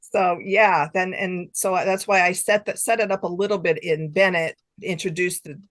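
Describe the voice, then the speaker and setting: A woman's voice: on the low side, steady tone, normal speed, no echo. A middle-aged woman, an author being interviewed, chatting casually and thinking aloud in loose, informal sentences. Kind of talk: casual chat.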